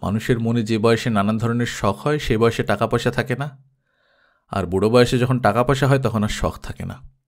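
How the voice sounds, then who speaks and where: A man's voice: mid-range voice, moderate pace, close to an everyday voice. A man playing a talkative, wistful middle-class elder brother in an audio story, rambling on matter-of-factly. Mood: wistful, chatty.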